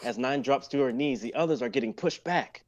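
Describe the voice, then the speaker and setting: A man's voice: mid-range voice, fairly quick, highs cut off. A young man narrating stage directions in a live amateur script reading. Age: young adult.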